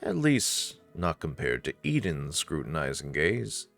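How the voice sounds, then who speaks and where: A man's voice: a bass voice, sing-song, a little slow, creaky, fairly bright tone, faint music behind. A man narrating a visual novel playthrough expressively, with casual asides.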